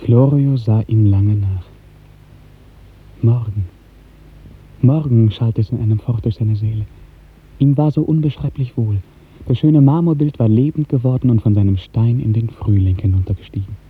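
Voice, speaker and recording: A man narrating a literary 1950s radio drama in a measured, poetic storytelling manner. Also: mid-range voice, slightly rough. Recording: muffled narrow 1950s radio recording.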